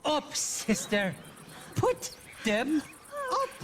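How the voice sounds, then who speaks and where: An actor playing a cowardly, wheedling Irish drunk in a western video game, whining and excitable. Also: male voice, very high-pitched, very slow, background noise, exaggerated voice. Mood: startled, belligerent. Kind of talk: video game cutscene acting.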